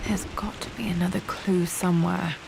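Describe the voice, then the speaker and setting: An actress playing a pensive young British woman explorer in a video game, murmuring to herself in hushed tones as she searches. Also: on the low side, fairly even intonation, normal speed, vocal fry, very noisy. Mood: pensive, searching. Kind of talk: video game cutscene acting, musing to herself.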